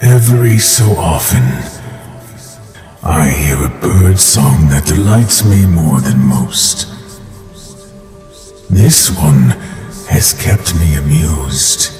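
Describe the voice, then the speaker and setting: In a cavernous reverb over music, an ancient, amused and menacing deity for a cartoon drama. The voice is low, grand and extremely slow, measuring out each phrase. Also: male voice, lively intonation, exaggerated voice. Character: ancient, lordly male sky god, amused, menacing. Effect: long godlike reverb.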